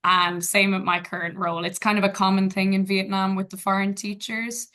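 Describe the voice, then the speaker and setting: Brief Young Irish woman, teacher guest, bright tone, relaxed and reflective. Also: medium pitch, brisk. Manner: casual, thoughtful, friendly. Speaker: guest, English teacher in Vietnam. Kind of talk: webinar answers, casual.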